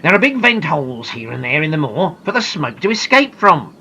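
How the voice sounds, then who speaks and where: Woman voicing a kindly grown man, calm, patient, reassuring, lively voice, storybook. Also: deep voice, brisk, breathy edge, muffled recording, heavily acted.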